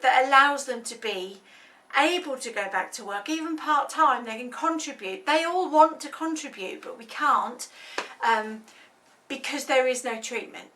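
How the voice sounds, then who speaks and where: A woman's voice: high-pitched, bright, forceful tone, far from the mic. A woman in her thirties speaking as a health advocate, explaining an illness in an earnest, conversational way with many hesitations. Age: 30s. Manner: earnest, conversational with frequent ums. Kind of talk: informal explainer talk.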